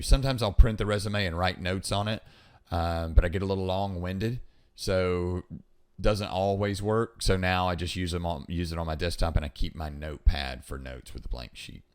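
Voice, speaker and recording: Man, deep voice, podcast host, casual instructional monologue, emphatic, repeats key points. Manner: emphatic, repeats key points, acts out example phone calls. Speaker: podcast host giving hiring advice.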